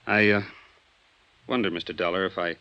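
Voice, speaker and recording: An actor playing an uneasy, guarded and overly polite suspect in a mid-century radio detective drama, speaking hesitantly. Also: male voice, low voice, steady tone, normal speed. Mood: awkwardly courteous. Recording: dull, band-limited old radio recording.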